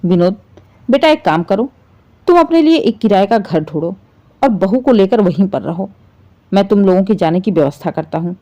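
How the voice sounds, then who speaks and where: A woman's voice: mid-range voice, expressive, moderate pace, mellow, lightly acted. A woman voicing a stern older father-in-law, a firm and reproachful patriarch in a family drama story. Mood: firm, resigned.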